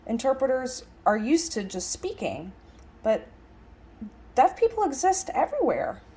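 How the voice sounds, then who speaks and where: A woman's voice: sits high, big pitch swings, measured pace, mellow. A woman interpreting a lecture aloud in a conversational, reflective style, musing with emphatic phrasing and rhetorical questions. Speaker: sign language interpreter voicing a signed lecture.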